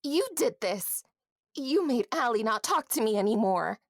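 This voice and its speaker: A woman's voice: fairly high pitch, animated, a little slow, no echo, studio quality. A woman voicing a hurt, sulky, childish stuffed toy pig in an audio drama, petulant and indignant.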